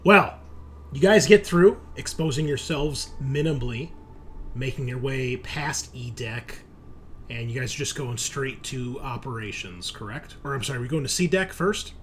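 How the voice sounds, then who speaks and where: A man's voice: medium pitch, moderate pace, fairly bright tone. Casual male game master, noisy recording. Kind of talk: casual tabletop game narration. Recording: noticeable background noise.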